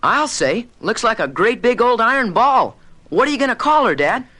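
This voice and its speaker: Eager adventurous boy, very high boyish voice, lively swings, wide-eyed cartoon adventure delivery. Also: moderate pace, exaggerated voice. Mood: excited. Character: eager, adventurous young boy, scientist's son. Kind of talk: cartoon adventure audio drama acting.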